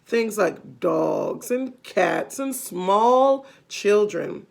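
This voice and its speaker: A woman reading a children's story aloud expressively, pausing to explain things simply. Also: mid-range voice, lively intonation, creaky.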